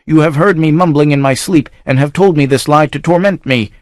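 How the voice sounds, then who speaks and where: A man's voice: fairly high pitch, sing-song, moderate pace, fairly bright tone, dry recording, clean studio sound, pitched above the performer's own voice. A man doing a raging half-mad count. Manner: roaring, panting accusations.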